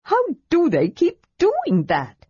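A woman doing a high, excitable young kender. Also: normal speed, husky, no room sound, dull audio, exaggerated voice. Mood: eager. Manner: high, eager, indignant outbursts. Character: excitable young male kender, chatty and indignant.

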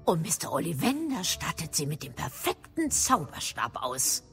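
Middle-aged female professor, formal, shrewd, brisk, lively intonation, lowish pitch, audio drama. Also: faint music behind. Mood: brisk, instructive. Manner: formal, crisp, mildly probing. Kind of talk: German audio drama acting.

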